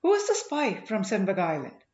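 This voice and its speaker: A woman plays an imperious young princess from a storybook, indignant and protective. Her pitch leaps high and swings widely, in a roomy recording. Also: huge pitch swings, fairly quick, dark tone, lo-fi sound.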